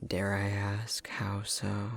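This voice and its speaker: A man's voice: lowish voice, completely flat delivery, slow, creaky, full character voice. A man playing a prickly, grudgingly caring elf academic in an ASMR roleplay, with a curt, haughty, exasperated delivery that often drops into whispers. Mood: dry, grudgingly kind.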